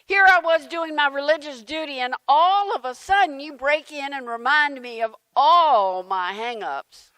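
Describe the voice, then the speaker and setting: A woman's voice: high voice, expressive, a little slow, pushed and bright, no echo, full character voice, rough recording. A woman playing a flustered, defensive churchgoer at prayer, exclaiming indignantly in a comic dramatic reading.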